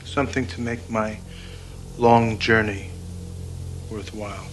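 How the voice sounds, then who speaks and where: An actor playing a calm, needling older master pool player in an American accent, speaking in a measured, deliberate way for a television drama. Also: male voice, fairly low pitch. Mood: coolly bargaining. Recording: television soundtrack with music behind.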